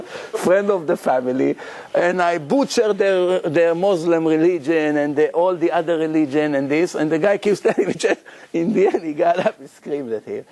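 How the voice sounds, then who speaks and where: A man, a rabbi, telling stories in a lively lecture to his congregation, acting out the people he quotes. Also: a little high. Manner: animated, acts out quoted voices, frequent restarts.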